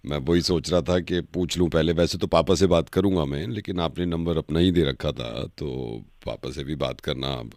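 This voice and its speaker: A man voicing a genial middle-aged father on a prank call, halting and avuncular as he talks. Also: very deep voice, a little mellow, dry recording. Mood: genial, hesitant. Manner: slow, halting, chuckling, avuncular. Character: genial, hesitant middle-aged father seeking a match for daughter.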